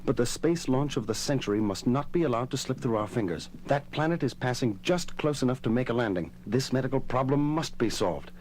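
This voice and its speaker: An actor playing a stern, driven moon base commander in a science fiction audio drama, clipped and insistent. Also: male voice, medium pitch, lively intonation, a little fast, hardly any pauses, darkish tone, slight background noise, close to an everyday voice. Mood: firm, pressing.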